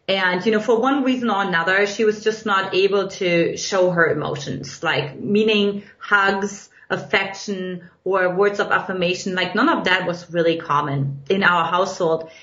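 A woman's voice: mid-range voice, moderate pace, hardly any pauses. German-accented woman in an echoey room. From Eastern Germany. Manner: earnest, reflective, expressive storytelling. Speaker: guest, dating coach. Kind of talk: podcast interview storytelling. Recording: echoey, dull lo-fi sound.

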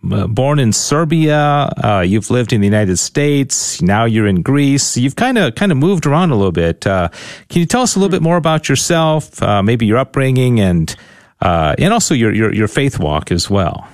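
Man, interviewer, lively intonation, hesitant with fillers, dry close sound. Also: medium pitch, normal speed, no echo. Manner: hesitant, filler-laden questioning. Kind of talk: casual interview questions.

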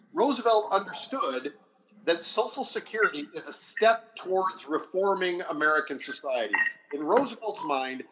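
Higher-voiced man, halting academic explainer. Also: fairly bright tone, slight background noise, rough recording. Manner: deliberate explaining with halting restarts and repeated phrases. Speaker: history scholar and panelist. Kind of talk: academic discussion, off the cuff.

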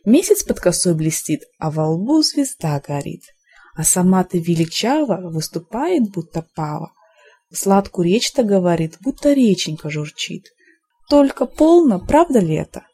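A female reader plays a young storybook prince, wistful and in love. The voice is mellow and a little husky, speaking verse earnestly with a lively rise and fall. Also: fairly low pitch, a little slow, full character voice. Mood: wistful wonder. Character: young fairy-tale prince, lovesick, earnest and melancholy.

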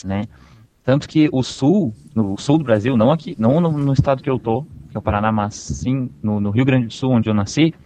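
A man's voice: medium pitch, fairly quick, dark tone, a little hiss or hum. A young man from southern Brazil with a slight southern Brazilian accent, chatting casually as the interviewee and often repeating words as he thinks. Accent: slight southern Brazilian Portuguese. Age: young adult. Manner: hesitant, repeats words while searching. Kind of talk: casual conversation.

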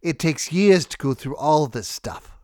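A man voicing a friendly blue-collar worker in his sixties with a Boston accent, talking slowly and methodically in an audiobook. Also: fairly high pitch, expressive, a little mellow, no room sound, clean studio sound. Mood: weary, explaining. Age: 60s. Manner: slow, methodical, folksy.

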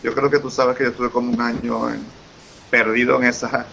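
A man's voice: mid-range voice, level tone, fairly quick, gravelly, pushed and bright. A man from Venezuela with a Venezuelan Spanish accent, chatting as an interview guest, effusive and eager, often repeating himself. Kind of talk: casual interview conversation. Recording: lo-fi with faint hiss.

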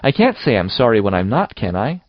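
A man's voice: fairly low pitch, very animated, a little fast, no room sound, lo-fi sound. A man voicing a passionate, stubborn young girl in a storybook, with a melodramatic, tearful, defiant manner. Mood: mournful, stubborn.

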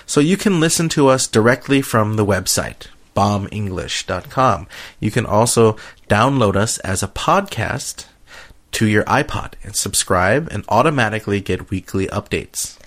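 A man's voice: lowish voice, lively intonation, moderate pace, a bit bright. A man co-hosting a podcast in casual, unscripted chat, with a joking manner. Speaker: podcast co-host.

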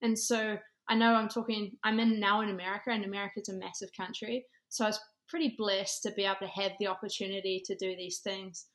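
A woman's voice: mid-range voice, flat delivery, fast, few pauses, a bit bright. A woman in her thirties with a New Zealand accent, talking conversationally as an interview guest and telling stories. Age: 30s. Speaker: podcast guest, former college golfer and ministry director.

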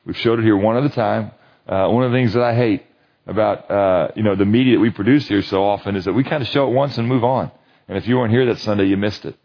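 A man's voice: on the low side, fast, gravelly, lo-fi sound. A man leading a men's Bible study, preaching in a conversational, emphatic way and often checking that listeners agree. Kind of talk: sermon.

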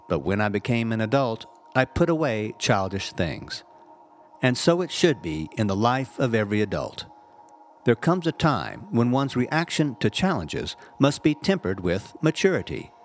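A man reading a scripted inspirational message as a reverend, in a measured, earnest and carefully phrased way. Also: medium pitch, a little hiss or hum. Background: background music.

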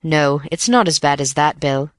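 A woman reading an audiobook voices a calm, thoughtful young man reasoning through a case. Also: sits low, normal speed, no room sound. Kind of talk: audiobook character voice.

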